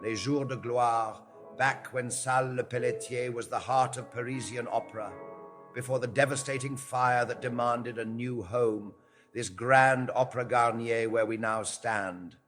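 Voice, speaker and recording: Man acting as old Frenchman, nostalgic raconteur, dramatic audio drama delivery, French phrases, medium pitch, clear music bed. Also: fairly even intonation, measured pace, a bit bright, lightly acted. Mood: nostalgic, proud. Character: old male opera storyteller, nostalgic and theatrical Frenchman. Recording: long hall reverb under music.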